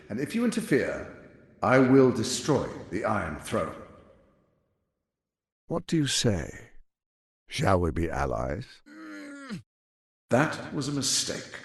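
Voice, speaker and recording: An actor playing a scheming, arrogant middle-aged tyrant lord in a video game, with a pompous, silky, menacing delivery. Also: male voice, mid-range voice, vocal fry, slightly rough, no echo, clean studio sound, full character voice. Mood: cold, threatening, then smug, silky. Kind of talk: theatrical video game voice acting.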